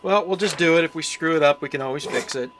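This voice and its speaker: A man's voice: a little high, a bit bright, a little hiss or hum. Plainspoken man talking through a repair. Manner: plainspoken, thinking aloud with pauses. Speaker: hobbyist radio repairer presenting his channel. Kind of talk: casual unscripted repair walkthrough.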